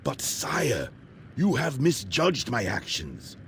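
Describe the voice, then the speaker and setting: A man voicing a grave old alien sage in a fantasy video game, gravelly, solemn and formal. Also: huge pitch swings, slow pace, a little hiss or hum, exaggerated voice. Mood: deferential, pleading. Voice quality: very deep, gravelly. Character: grave, deep-voiced old alien sage leading a secret rebellion.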